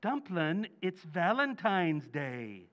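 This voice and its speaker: Male actor as gullible working-class hubby, old-time radio comedy. Highish, animated, blustery and folksy. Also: slow, muffled recording, full character voice. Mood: coaxing. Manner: blustery, sheepish, folksy ungrammatical speech.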